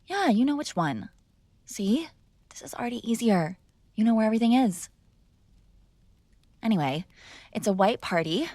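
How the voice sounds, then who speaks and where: A woman's voice: medium pitch, darkish tone, no echo, lightly acted. A woman playing a mob boss's spoiled, bratty young adult daughter in an intimate roleplay, speaking casually with sarcastic, exasperated argument. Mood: insistent, breezy. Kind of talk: intimate ASMR roleplay acting, one-sided conversation.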